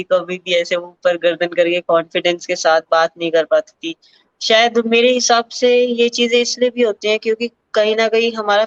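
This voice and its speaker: A young woman from Uttar Pradesh, a para-athlete sprinter, telling her story in an interview, thoughtful, with many pauses and fillers and English words mixed into her talk. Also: fairly low pitch, smooth, no room sound, dull audio. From Uttar Pradesh, India. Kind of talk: candid personal interview.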